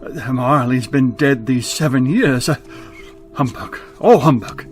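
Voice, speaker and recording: An actor in a radio play playing a grumpy, frightened old miser who stammers and blusters haltingly. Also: male voice, mid-range voice, faint music behind, close to an everyday voice. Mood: grumbling disbelief.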